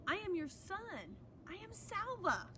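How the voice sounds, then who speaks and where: A woman reading the part of an earnest, gentle grown son in a storybook. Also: extremely high pitch, big pitch swings, unhurried, background noise, voice pushed up, exaggerated voice. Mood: earnest, hopeful. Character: earnest grown son greeting his long-lost father.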